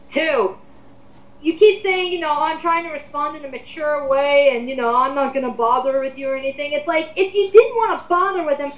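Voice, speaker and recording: A woman giving casual, mocking commentary as a co-host, sarcastic and quick to swear. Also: high-pitched. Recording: roomy, muffled home recording.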